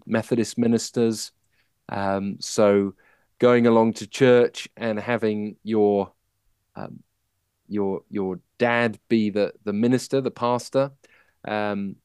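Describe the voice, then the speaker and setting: A man from Manchester shares his personal story as a podcast guest. He speaks slowly and haltingly, reflecting as he goes, in a smooth, clear voice with a dry, close sound. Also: fairly low pitch, a little mellow, no room sound. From Manchester, England. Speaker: podcast guest, musical writer.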